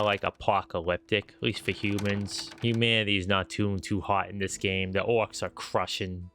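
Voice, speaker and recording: A man's voice: on the low side, fairly bright tone. A man streaming a video game with a stuffy, slightly nasal voice, rambling casually as he plays. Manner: rambling, lots of fillers and restarts. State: stuffy nose, a bit nasal. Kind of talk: casual gameplay commentary.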